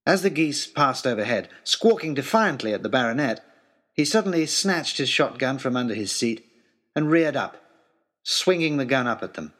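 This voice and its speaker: A man playing an earnest, eloquent gentleman adventurer, narrating his letters home as a wonderstruck, literary storyteller in an audio drama. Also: mid-range voice, animated, normal speed. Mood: urgent, dramatic.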